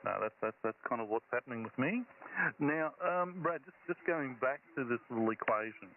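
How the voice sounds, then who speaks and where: A man talking informally and technically as a radio ham over a radio link, halting as he thinks aloud. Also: medium pitch, lively intonation. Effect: shortwave radio transmission. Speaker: amateur radio operator. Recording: thin narrowband radio audio, clear static.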